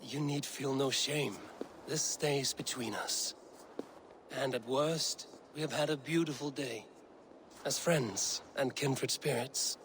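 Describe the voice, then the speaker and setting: A man voicing a calm, honorable Viking warrior in a video game, with measured, formal, earnest speech. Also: mid-range voice, a little hiss or hum. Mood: reassuring. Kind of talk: dramatic video game dialogue.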